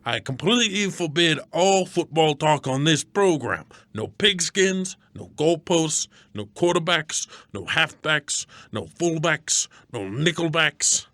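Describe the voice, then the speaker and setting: A man's voice: fairly high pitch, expressive, slow, hardly any pauses, fairly bright tone, dry recording, heavily acted. Man playing a blustery, griping radio producer, dry sound. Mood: indignant. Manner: wisecracking, melodramatic, folksy slangy talk. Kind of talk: scripted radio comedy skit.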